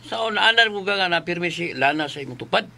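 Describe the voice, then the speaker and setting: A man's voice: high voice, lively intonation, fast talker, creaky, sharp tone, heavily acted. A man acting a nosy, blunt young buddy in a radio drama, with a Cebuano Filipino accent. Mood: teasing, curious.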